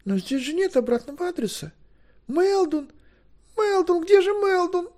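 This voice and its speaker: A man voicing an excitable, earnest young woman in a fantasy audiobook, breathless, fretful and exclaiming. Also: very high voice, unhurried, voice pushed up. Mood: anxious, muttering.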